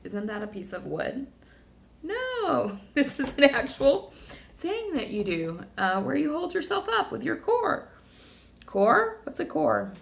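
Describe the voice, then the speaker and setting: A woman sharing a rambling, emotional personal video diary, a little hoarse from talking. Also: high voice, huge pitch swings, slow, slight background noise. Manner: rambling, emotional, many fillers and restarts. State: slightly hoarse. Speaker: vlogger.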